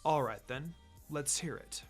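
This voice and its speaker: A reader playing a calm, commanding male ninja teacher in a story reading. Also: medium pitch, breathy edge, faint music behind. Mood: composed. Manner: calm, measured.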